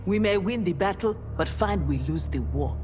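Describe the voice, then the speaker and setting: An actress playing a defiant, principled young warrior, blunt and challenging, in a video game cutscene. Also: female voice, lowish voice, lively intonation, normal speed, darkish tone, soft background music, close to an everyday voice. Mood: reluctant, troubled. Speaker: warrior trainee.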